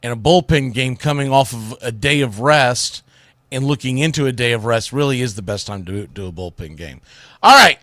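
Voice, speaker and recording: A man's voice: mid-range voice, expressive, normal speed, bright, forceful tone, no room sound. A man hosting a solo sports talk show, giving commentary in an informal, opinionated way.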